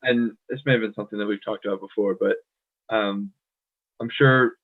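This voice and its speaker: A man from the United States co-hosting a casual chat, thoughtful and pausing often with "um". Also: fairly low pitch, steady tone. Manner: thoughtful, many "um" fillers. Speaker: podcast co-host. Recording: tinny phone-like line.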